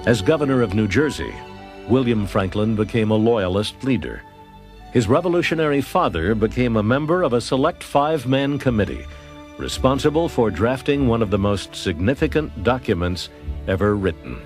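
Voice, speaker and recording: A man's voice: medium pitch, expressive, moderate pace, darkish tone. A man delivering scripted historical narration as the narrator of a television documentary. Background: music.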